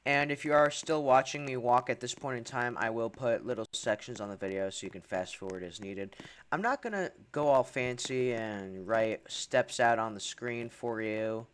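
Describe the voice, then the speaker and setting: A man's voice: medium pitch, moderate pace, smooth. A young man from Hungary giving a casual game tutorial voice-over, chatty, with wry asides and frequent ums. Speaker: gaming tutorial creator.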